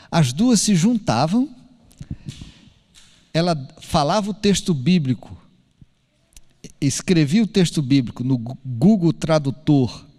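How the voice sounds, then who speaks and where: A man preaching a sermon, telling a story in an animated way and acting out the dialogue. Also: sits high. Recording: long hall reverb.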